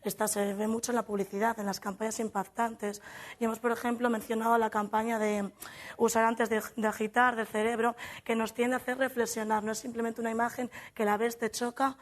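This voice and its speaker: A woman's voice: on the high side, little pitch movement, a little fast. A young woman on a youth panel earnestly presenting workshop conclusions, often correcting herself mid-word. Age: young adult.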